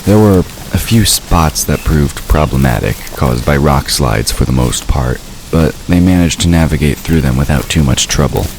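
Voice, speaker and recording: Very deep-voiced male narrator. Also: lively intonation, normal speed, few pauses, a little mellow, slight background noise, low-quality recording. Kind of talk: scripted fantasy story narration.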